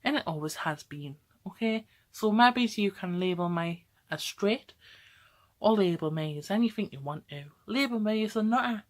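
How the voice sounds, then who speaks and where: A woman's voice: medium pitch, expressive, normal speed. A woman, talking casually to camera in a rambling, chatty way, often tagging on "okay?" and laughing. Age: 20s. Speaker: vlogger.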